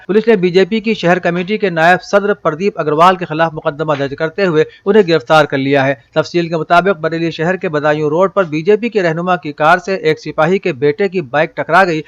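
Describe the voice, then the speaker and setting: A man reading a scripted news bulletin in a formal, measured manner. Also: a little high, dry recording, muffled recording. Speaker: news reader.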